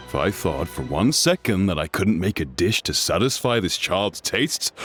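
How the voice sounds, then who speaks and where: A proud middle-aged restaurant chef in a video game. His delivery is hearty and boastful, with very animated intonation. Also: lowish voice.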